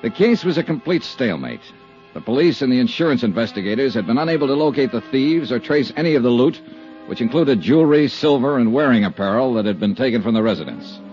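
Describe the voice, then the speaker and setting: A cool, hard-boiled male investigator played by an actor from a 1950s radio drama. His delivery is clipped and dry but swings animatedly in pitch. The old recording is muffled, with prominent music. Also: lively intonation, fast, a little mellow, close to an everyday voice. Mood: brisk, businesslike. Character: cool, sardonic male freelance insurance investigator, radio detective. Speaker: lead actor and narrator.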